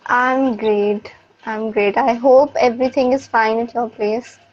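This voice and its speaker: Woman, interview host, high voice, online show presenting. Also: steady tone, a little slow, highs cut off. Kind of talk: hosted interview, introducing a guest.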